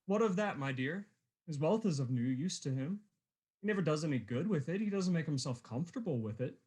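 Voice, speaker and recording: Good-natured young nephew, lightly high voice, jovial, earnest, radio drama. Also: male voice, lively intonation, fairly quick, a little mellow, slightly rough. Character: cheerful, kindly, good-humored nephew. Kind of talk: radio play acting.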